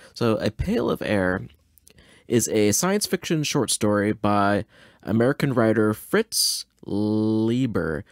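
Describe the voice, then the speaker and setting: Male podcast host, lowish voice, dry close mic; casual, rambling, self-deprecating, laid-back. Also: dry recording. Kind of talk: casual chat, reacting to an old radio show.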